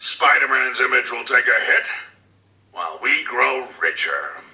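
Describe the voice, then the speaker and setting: An actor playing a cold, calculating crime boss tycoon dictating orders in a measured, menacing, condescending way for a video game audio log. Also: male voice, medium pitch, very animated, bright, forceful tone, full character voice. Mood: cold, scheming. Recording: roomy, slightly distant audio log.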